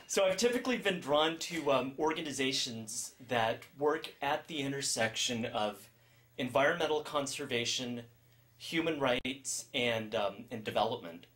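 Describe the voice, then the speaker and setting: A man's voice: mid-range voice, lively intonation, moderate pace, a bit bright. Hesitant man giving a classroom talk. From San Francisco, USA. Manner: hesitant, many ums and restarts. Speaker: guest speaker. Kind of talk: classroom video call talk. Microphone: video call.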